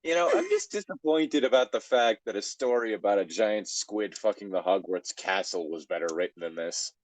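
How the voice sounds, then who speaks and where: A man's voice: fairly low pitch, sing-song, moderate pace, a little hiss or hum, poor audio. A man in casual commentary on a story he reads aloud, sounding wry and exasperated. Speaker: co-host reading fan fiction aloud.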